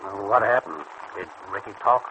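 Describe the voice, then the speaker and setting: An actor in an old radio serial playing a stubborn small-time bootlegging shopkeeper who talks in blunt, folksy slang. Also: male voice, fairly low pitch, normal speed, natural voice. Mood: gruffly needling. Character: stubborn small-time male bootlegging sweet-shop keeper called Pop. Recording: muffled, faintly noisy old radio recording.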